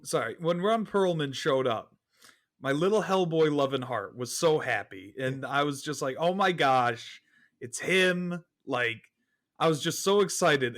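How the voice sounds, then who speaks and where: A man chatting casually about films in an excitable, exclamatory way. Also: a little high. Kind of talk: casual film discussion.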